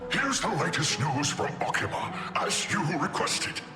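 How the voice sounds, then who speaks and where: An actor playing a boastful, theatrical talking statue that spreads town news in a grandiose game-character style. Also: male voice, on the high side, very animated, a little slow. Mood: dramatic. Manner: grandiose, showy, proclaiming. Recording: long reverb, music behind. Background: music.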